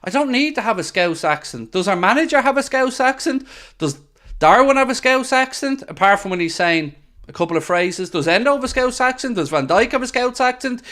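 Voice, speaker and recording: A man's voice: extremely high pitch, animated, brisk, fairly bright tone. Exasperated man ranting through a live match. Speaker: football watchalong host. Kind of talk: live match commentary and reaction.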